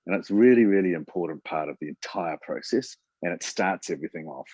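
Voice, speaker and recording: A man with a low, husky voice hosts a webinar, talking loosely and repeating himself as he gathers his thoughts. The audio is dry and a little muffled, like a video call. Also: fairly quick, no echo. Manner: relaxed, many fillers and restarts. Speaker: webinar host. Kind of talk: webinar presentation. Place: Auckland.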